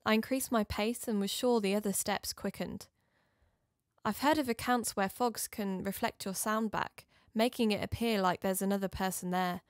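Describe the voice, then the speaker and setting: A woman's voice: mid-range voice, steady tone, normal speed, dry recording, clean studio sound, close to an everyday voice. A woman playing an uneasy investigator, narrating her story in scripted first person, confidingly. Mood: uneasy, reflective.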